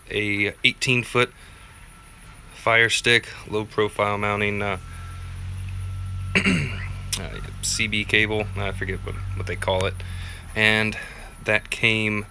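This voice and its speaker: A man's voice: lowish voice, pushed and bright, slight background noise. A man giving a casual, unscripted how-to walkthrough in a plainspoken, laid-back way, as a vlogger would. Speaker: vlogger presenting a DIY gear tutorial.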